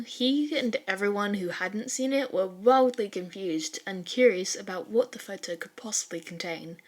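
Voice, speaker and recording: A woman reading fan fiction as an audiobook-style narrator, with a casual, wry, expressive storytelling manner. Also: medium pitch.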